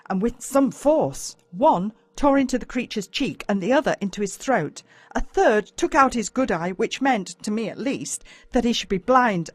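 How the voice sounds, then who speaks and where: A woman with an Australian accent reads a first-person story as a dramatic, expressive audiobook narrator. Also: medium pitch, no room sound.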